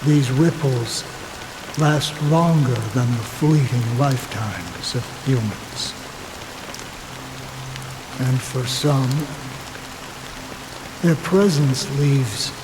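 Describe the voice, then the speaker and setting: An actor playing a weary man telling a slow, melancholy story in a game cutscene, with steady rain behind him. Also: medium pitch, background noise. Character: weary man who has watched decades of rain. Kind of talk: video game cutscene dialogue.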